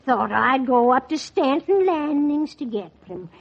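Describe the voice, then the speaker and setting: An actress playing a cranky, suspicious old recluse in a 1940s radio drama, snappish and rambling in a folksy way. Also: female voice, high voice, expressive, unhurried, vocal fry, a little hiss or hum, exaggerated voice. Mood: gloating, rambling. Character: cranky, suspicious old backwoods recluse woman, cackling and defiant. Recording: dull vintage 1940s radio recording.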